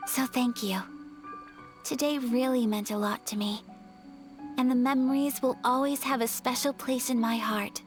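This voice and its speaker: A woman playing a gentle, refined young noblewoman in a video game, speaking softly with earnest, poised affection. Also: fairly high pitch, fairly even intonation, normal speed, faint music behind, natural voice. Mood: warm, wistful. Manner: soft, poised, heartfelt delivery. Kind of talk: video game voice acting, sincere and tender.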